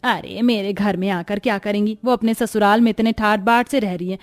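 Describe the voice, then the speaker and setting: A woman's voice: mid-range voice, steady tone, fairly quick, soft background music, natural voice. A woman as a haughty, nagging mother-in-law. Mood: boastful. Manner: sharp, nagging, domineering. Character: haughty, scolding middle-aged Indian mother-in-law, doting on her daughters. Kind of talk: acted Hindi cartoon story dialogue.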